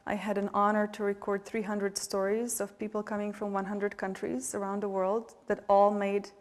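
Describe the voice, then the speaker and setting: Bosnian-accented woman, 40s, panel speaker, steady monotone delivery, heartfelt with many pauses, long hall reverb. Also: medium pitch. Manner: heartfelt, hesitant, many fillers and restarts. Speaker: panelist, nonprofit director. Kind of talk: earnest public remarks.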